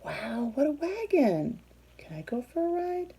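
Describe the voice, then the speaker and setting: A woman voicing eager, friendly animals in a children's storybook, asking and pleading in a bright manner. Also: sits high, big pitch swings, measured pace, voice pushed up, exaggerated voice. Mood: excited, hopeful.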